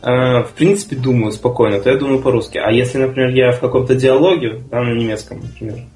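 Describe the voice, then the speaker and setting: A talkative man co-hosting a casual panel chat, who is quick to argue his point. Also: mid-range voice, a little mellow. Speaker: co-host. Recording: roomy echo.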